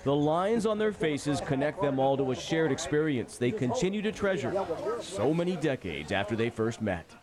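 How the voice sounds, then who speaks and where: A man's voice: on the high side, very animated, moderate pace, a crowd in the background. A man narrating a scripted television news feature as the reporter, with a playful, lilting delivery.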